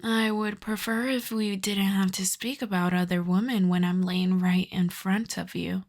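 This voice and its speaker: A woman voicing a seductive, possessive female vampire in an intimate ASMR roleplay, speaking softly and teasingly, often in a half-whisper. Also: medium pitch, steady tone, lightly acted. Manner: slow, soft, breathy half-whispered teasing delivery.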